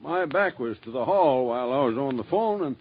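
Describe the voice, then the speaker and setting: A man's voice: mid-range voice, animated, fairly quick, slight background noise, lightly acted. A man playing a flustered charity Santa in a 1940s radio drama, stammering and restarting. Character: nervous older man, street-corner Santa. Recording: muffled old radio recording.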